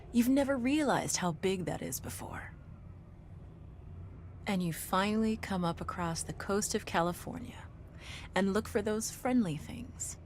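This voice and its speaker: Female narrator for a reflective monologue. Mid-range pitch, earnest and deliberate delivery, short dramatic phrasing. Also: slight background noise.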